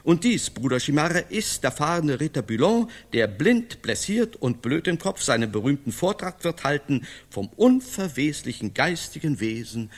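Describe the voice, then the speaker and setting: An actor playing a pompous, blunt medieval knight in a radio play, speaking ceremoniously and dryly. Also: male voice, a little high, very animated, normal speed, few pauses. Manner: ceremonious, dry.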